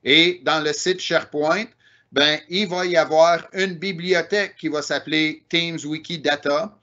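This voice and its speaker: Québécois man explaining software, slightly high voice. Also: fairly even intonation, a little slow, highs cut off. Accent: Québécois French. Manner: explanatory, frequent "euh" and "ben" fillers. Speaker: presenter. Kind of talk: online technical presentation.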